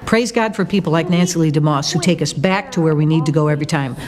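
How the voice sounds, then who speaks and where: A woman's voice: deep voice, quick pace, a little mellow, in a large hall. A woman speaking emphatically, repeating key words for stress in an impassioned testimony. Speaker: conference speaker.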